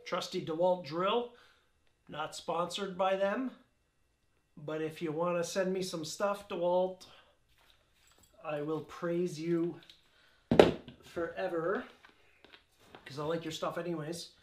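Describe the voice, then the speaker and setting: A man's voice: high-pitched, steady tone, takes it slowly, pauses often. A middle-aged man hosting a casual DIY home gym vlog, chatty and offhand with small jokes, recorded on a camera mic a few meters away in a cluttered garage. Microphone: camera-mounted mic, a few meters off. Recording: echoey garage room with faint noise.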